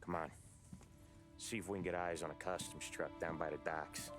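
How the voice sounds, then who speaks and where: An actor playing a level-headed young mobster who leads his crew, talking in a calm, blunt voice. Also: deep voice, normal speed, over music, lightly acted. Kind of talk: video game cutscene acting. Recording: game cutscene with loud score.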